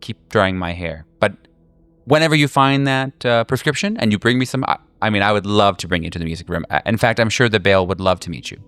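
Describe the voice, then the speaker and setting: A man's voice: lowish voice, quick pace, a bit bright, clear, resonant tone, no echo, lightly acted. A man voicing a flighty, insistent woman patient who rambles and hesitates in a tabletop horror game. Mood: haughty, dismissive. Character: flighty, snippy woman patient craving a prescription.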